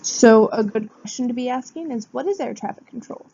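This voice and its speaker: Mellow woman presenting, muffled recording. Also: on the high side, brisk, vocal fry, slightly rough. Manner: explanatory, poses questions then answers them. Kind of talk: prepared informative presentation. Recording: dull, muffled lo-fi sound.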